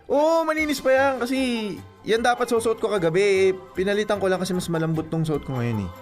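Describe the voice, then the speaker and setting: High-voiced sheepish young Filipino boyfriend played by a man. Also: lively intonation, quick pace, faint music behind. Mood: defensive. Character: sleepy, messy, defensive young boyfriend. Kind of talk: radio drama acting.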